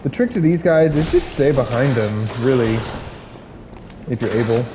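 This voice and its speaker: A man giving casual gameplay commentary, hoarse from illness, rambling with many fillers and restarts. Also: medium pitch, expressive, normal speed, noisy background.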